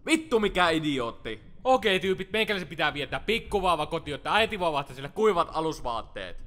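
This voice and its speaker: Man playing sneering, lively cartoon teenage big brother. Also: fairly high pitch. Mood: disgusted. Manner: sarcastic, swearing slangy drawl. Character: bullying, foul-mouthed teenage older brother, sneering video-game plumber. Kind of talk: Finnish fan-dub cartoon parody.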